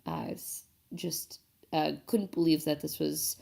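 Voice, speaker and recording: A woman gives casual audience feedback in a low voice full of heavy creak. She is articulate and leans hard on her strongest words. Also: big pitch swings, slow, warm tone. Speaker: film festival audience member. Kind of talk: casual spoken film review.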